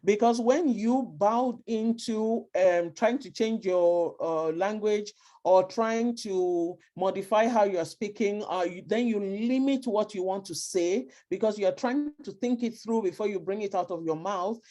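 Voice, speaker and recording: A woman's voice: mid-range voice, unhurried, mellow. A woman with a Nigerian accent giving earnest, emphatic advice to parents and repeating her key points. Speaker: speaker advising parents. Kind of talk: advice talk to immigrant parents.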